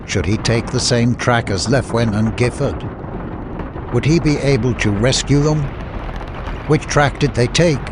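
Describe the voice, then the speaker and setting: Low-voiced male story narrator over noise. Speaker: audiobook narrator. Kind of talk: dramatic scripted story narration.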